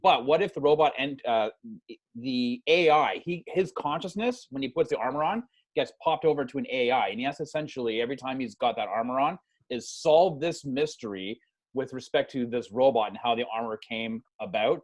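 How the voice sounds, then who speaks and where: A man talking as a podcast guest, casually pitching ideas in a conversational, self-deprecating way. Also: brisk.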